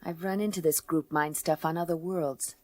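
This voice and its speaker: Low-voiced, hard-boiled woman played by an actress. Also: normal speed, no echo, natural voice. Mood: skeptical. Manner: deadpan, clipped, hard-boiled. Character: tough, wry female space detective narrating her case. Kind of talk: noir radio play narration and dialogue.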